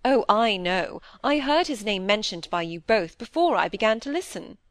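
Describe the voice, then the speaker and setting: A woman's voice: on the high side, very animated, brisk, no room sound, studio quality, voice pushed up. A woman reading an audiobook, voicing a middle-aged wife who is fretful, wheedling and full of plaintive excuses. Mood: eager, justifying. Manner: plaintive, pouting, evasive.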